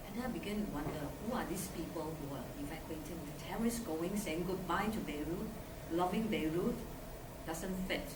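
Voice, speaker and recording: An older woman from Singapore speaks to students in a low, earnest voice, restarting her sentences now and then. She sounds distant from the mic in an echoey hall, under a loud hiss. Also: brisk. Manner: earnest, conversational, repeats and restarts. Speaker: guest speaker, surgeon. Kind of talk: public talk to students. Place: lecture hall.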